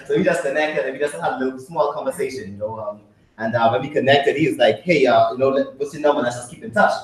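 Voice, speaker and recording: A young man from the Bahamas with a Bahamian accent, telling an excited, laughing story at a business meeting. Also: mid-range voice, fast talker. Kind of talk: testimonial talk at a business meeting. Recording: echoey hall from far off.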